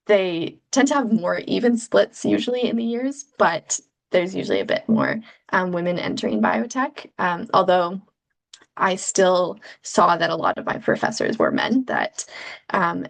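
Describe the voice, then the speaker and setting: A young Canadian woman, a PhD researcher, giving thoughtful, measured answers in an interview with frequent ums. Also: mid-range voice, vocal fry, rough recording.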